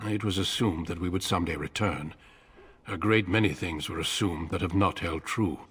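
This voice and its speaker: An actor playing a grave, composed commander of an ancient order in a video game, speaking formally and with measured authority. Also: male voice, low voice, a bit bright, slightly rough, long reverb tail.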